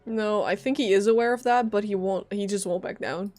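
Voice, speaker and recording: A woman's voice: medium pitch, fairly quick, darkish tone, soft background music. A woman doing casual live gameplay commentary, informal, playful and reactive as a streamer.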